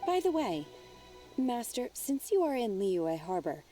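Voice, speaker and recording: A woman's voice: fairly high pitch, sing-song, measured pace, mellow, recorded from a distance, soft background music. A woman playing a stoic, earnest young disciple in a video game, speaking in a polite, matter-of-fact way. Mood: sincere, respectful. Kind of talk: video game character dialogue.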